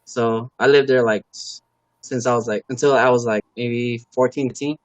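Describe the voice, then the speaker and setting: A man from Los Angeles, a native Khmer speaker, chats as a guest on a live stream. He talks in a relaxed, halting way, stacks up fillers and circles back on himself. His voice sits in an ordinary middle pitch, and the recording is dry, with no room sound. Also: no echo, poor audio. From Los Angeles, USA. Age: adult parent. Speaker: guest, car builder and YouTuber. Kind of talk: casual interview chat.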